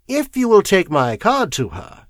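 A courteous, careful solicitor from a mystery audiobook, acted by a male narrator. He speaks earnestly and a bit hesitantly, raised above the narrator's usual pitch. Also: huge pitch swings, unhurried, dry recording, studio quality, exaggerated voice. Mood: polite. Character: polite, cautious male English solicitor.

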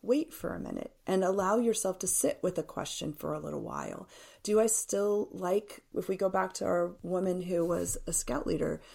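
Female interview guest, fifties, lower register with strong vocal fry, earnest and explanatory delivery. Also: normal speed, dark tone. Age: 50s. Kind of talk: reflective interview talk.

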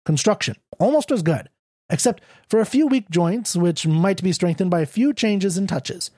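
A reader voicing a tactful middle-aged male magazine editor who critiques a writer in a measured, diplomatic way. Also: mid-range voice, animated, dry recording, clean studio sound, pitched above the performer's own voice, lightly acted. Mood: gently critical. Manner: measured, diplomatic, softly lecturing. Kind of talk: audiobook character reading.

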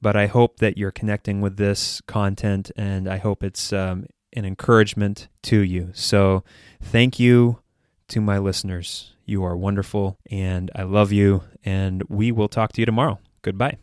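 A man's voice: on the low side, steady tone, normal speed, a little mellow, smooth, no room sound, studio quality. A man hosting a solo podcast, partly scripted, speaking in an earnest, sincere manner. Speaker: podcast host.

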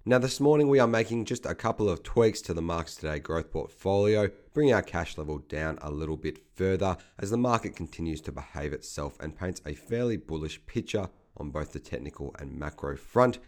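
Casting note: male market commentator, low voice, slight croak, brisk figure-heavy read. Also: expressive. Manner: brisk, businesslike delivery of figures. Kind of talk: scripted market update.

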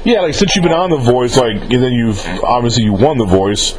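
A man's voice: mid-range voice, sing-song, brisk, background noise. A man hosting a casual interview, informal and rambling, often rephrasing his questions as he goes. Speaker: interviewer relaying fan questions.

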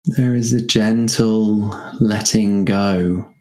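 A man guiding a meditation in a gentle, unhurried manner, leaving spacious pauses between short phrases. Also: lowish voice, fairly even intonation. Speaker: meditation guide. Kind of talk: guided meditation.

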